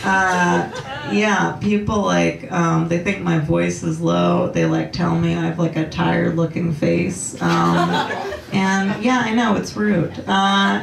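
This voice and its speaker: Young woman comic, low gravelly deadpan voice, slow halting delivery, heard from afar in a roomy club. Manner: slow, deadpan, hesitant delivery with many ums. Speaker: stand-up comedian. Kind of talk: deadpan stand-up set. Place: comedy club stage with laughing audience. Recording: roomy club sound from a distance.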